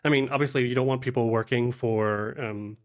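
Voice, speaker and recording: A man talking conversationally as a podcast guest and game master, explaining things in a halting, thoughtful way. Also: mid-range voice, fairly even intonation. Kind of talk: conversational interview talk.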